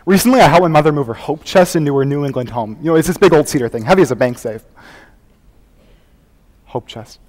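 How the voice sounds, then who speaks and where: A man's voice: fairly high pitch, darkish tone, close to an everyday voice. Young man acting earnest performance artist, echoey hall. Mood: fond, wry. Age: young adult. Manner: theatrical, conversational storytelling with dramatic pauses. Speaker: high school competitive speech performer. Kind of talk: dramatic interpretation monologue on stage. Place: large hall before an audience. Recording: echoey hall, live contest recording.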